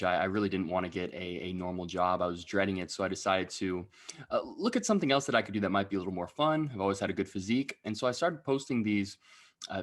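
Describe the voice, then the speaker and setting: A young man speaks as a podcast guest in a slightly low voice. He talks through his story in an easygoing way and keeps checking in with his listener at the end of his sentences. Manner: relaxed explaining, frequent tag questions. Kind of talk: casual interview.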